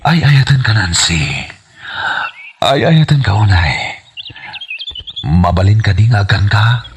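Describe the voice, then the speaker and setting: A man playing an excitable, wheedling suitor in an exaggerated Ilocano comedy radio drama. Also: lowish voice, measured pace, background noise. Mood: lovestruck.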